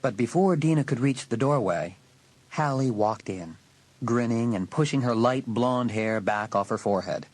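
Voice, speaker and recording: A man's voice: medium pitch, expressive, normal speed, no room sound, low-quality recording. A man reading scripted story narration as an audiobook narrator.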